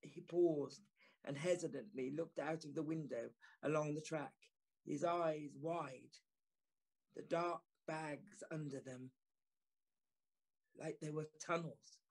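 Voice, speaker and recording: An actor playing a gentleman who narrates a Victorian ghost story in the first person, grave, puzzled and formal. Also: on the high side, rough recording. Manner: deliberate, formal, literary. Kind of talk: dramatic first-person scripted narration, Victorian audio drama.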